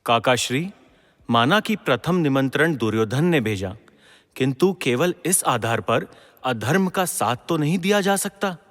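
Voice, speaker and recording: A man's voice: medium pitch, expressive, unhurried, fairly bright tone. A man acting an earnest, brave and dutiful young prince in a mythological audio drama, declaiming with formal respect and passion. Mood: earnest, principled. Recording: long hall-like reverb.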